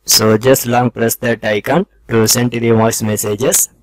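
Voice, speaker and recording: A man's voice: mid-range voice, little pitch movement, measured pace, no echo. Man narrating a tech tutorial, dry close sound. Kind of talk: scripted step-by-step tutorial narration.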